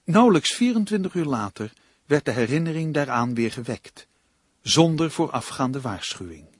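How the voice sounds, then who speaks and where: An actor playing an uneasy, earnest crime novelist in a radio drama, given to defensiveness. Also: male voice, fairly high pitch, sing-song, normal speed, slightly rough. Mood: ominous.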